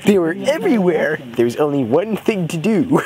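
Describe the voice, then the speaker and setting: A young man improvises a silly story for friends in a put-on cartoon parody voice, halting and often breaking into giggles. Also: on the high side, big pitch swings, a crowd in the background. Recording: noisy amateur home recording.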